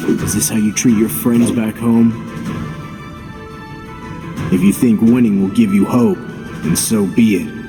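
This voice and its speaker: A man plays a skeptical male warrior companion from a game, speaking in a lowish voice. He is dry, wry and questioning. Long hall reverb surrounds him, with music clearly behind. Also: fairly even intonation, measured pace, pauses often, natural voice. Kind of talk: video game cutscene voice acting.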